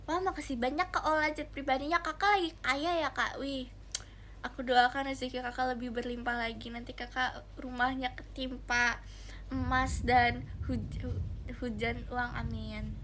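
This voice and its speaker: A woman's voice: high-pitched, steady tone, moderate pace, a bit bright, a little hiss or hum. A young woman, chatting casually and giggly with viewers on a livestream, addressing them directly. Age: young adult. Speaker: idol livestreamer.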